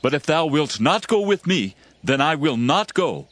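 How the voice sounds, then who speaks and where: A man's voice: a little high, very animated, moderate pace, fairly bright tone, no room sound. A man playing an insistent Israelite military commander, speaking emphatically in a dramatized scripture reading.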